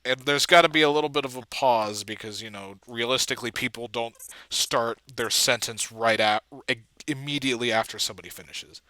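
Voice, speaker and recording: A man giving a casual walkthrough as an amateur video editor, thinking aloud haltingly and trailing off. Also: mid-range voice, bright, forceful tone, dry recording. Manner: halting, trailing off mid-thought.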